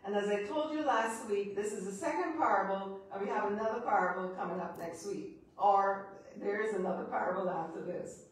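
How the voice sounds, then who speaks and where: A woman's voice: medium pitch, a little fast. A middle-aged woman priest with a Barbadian accent preaches from a pulpit, chatty and joking with the congregation between points. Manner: chatty, laughing asides, teasing the congregation. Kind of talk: sermon with congregation banter. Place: church sanctuary at a pulpit. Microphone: far camera. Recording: echoey church from a distance.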